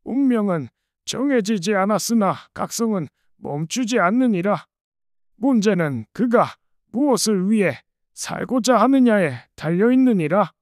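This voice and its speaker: A woman's voice: mid-range voice, animated, a little slow, a little mellow, no room sound, studio quality, close to an everyday voice. A woman voicing a serene, sage old male monk's spirit who speaks in solemn, archaic pronouncements in a folk tale. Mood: solemn teaching. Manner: solemn, oracular, archaic speech. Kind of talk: dramatized Korean folk tale dialogue.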